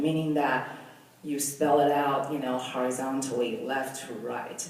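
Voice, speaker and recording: Low-voiced woman presenter, echoey hall. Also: darkish tone. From Taiwan. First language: Mandarin. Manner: hesitant, many ums and restarts. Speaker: designer giving a presentation. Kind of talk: presentation talk with slides. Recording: echoey hall, mic from far off.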